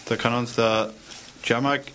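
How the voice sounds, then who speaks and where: A man hosting a community radio talk show, relaxed and chatty with light hesitations. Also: medium pitch, a little slow, slight background noise. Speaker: radio host. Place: radio studio.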